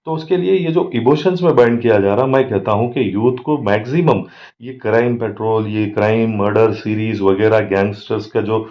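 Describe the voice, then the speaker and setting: A man's voice: lowish voice, moderate pace, darkish tone. An Indian man giving crime commentary as an advocate and host, mixing in many English words. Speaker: advocate and social activist, channel host. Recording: dull lo-fi microphone.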